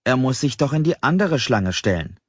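A man plays a tall, easygoing European surfer who works in tech, in an audiobook. The voice is husky, and the recording is a little dull. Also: mid-range voice, sing-song, normal speed, voice pushed up. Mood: eager, chatty. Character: tall laid-back European surfer-type tech worker. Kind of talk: audiobook dialogue. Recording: slightly dull, roomy sound.

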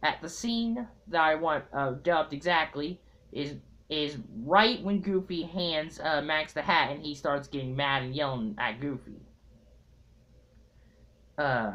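A young man talking casually to viewers in a halting, hesitant way, recorded at home on a tablet's built-in mic. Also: a little high, fairly bright tone, muffled recording. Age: young adult. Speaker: amateur fandub video maker. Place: small room at home. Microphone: tablet built-in mic at arm's length.

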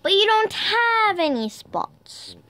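A woman voicing a doubtful but kindly teddy bear in a children's storybook, gentle and questioning. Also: very high-pitched, sing-song, slow, pitched above the performer's own voice, full character voice.

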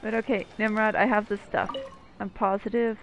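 A woman's voice: medium pitch, little pitch movement, normal speed, poor audio. A woman streaming a video game, giving casual, joking commentary as she plays. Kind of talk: casual live gameplay commentary. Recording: stream mic over game music. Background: game music.

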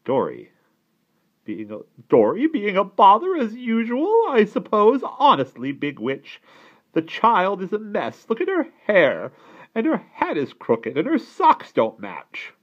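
Shrill, scolding old aunt. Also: male voice, wildly swooping pitch, unhurried, lo-fi sound, heavily acted. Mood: impatient scolding. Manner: complaining, bossy, exclaiming. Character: shrill, scolding, bad-tempered old aunt, never satisfied. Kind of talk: children's storybook read-aloud.

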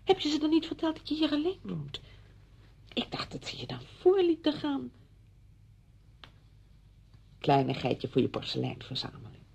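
A woman's voice: high-pitched, wildly swooping pitch, normal speed, creaky, long pauses, darkish tone, heavily acted. An actress playing a chatty, apologetic married woman visiting a friend in a radio drama, effusive, rambling and flustered. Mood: apologetic, gushing.

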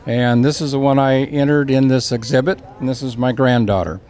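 A man's voice: medium pitch, fairly even intonation, a little fast, a little mellow. An American man in his sixties talking informally in an interview as a volunteer. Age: 60s. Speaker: volunteer and photographer. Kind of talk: unscripted interview talk. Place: indoor exhibit hall. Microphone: handheld microphone.

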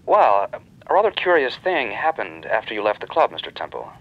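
A man's voice: fairly low pitch, very animated, a little fast. An actor playing a breezy, slangy, chatty club man in a radio detective drama. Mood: breezy, confiding. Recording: dull band-limited telephone sound.

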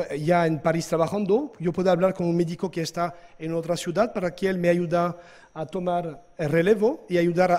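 A man, giving a conference talk in a hall with halting hesitations and restarts. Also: high voice, darkish tone. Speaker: conference speaker. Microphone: lectern microphone.

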